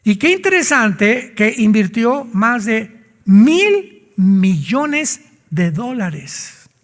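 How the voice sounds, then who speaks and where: A man's voice: high-pitched, very animated, very slow, fairly bright tone, long reverb tail. A man preaching a sermon as a pastor, emphatic and full of rhetorical questions.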